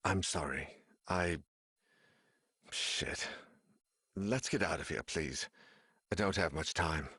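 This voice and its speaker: A deep-voiced, haunted, weary man. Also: breathy edge, no room sound, clean studio sound, heavily acted. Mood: drained, subdued. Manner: grim, halting, swearing under stress. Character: haunted, weary man searching an evil house, dread-filled. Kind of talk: dramatic video game voice acting. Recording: loud music behind the voice.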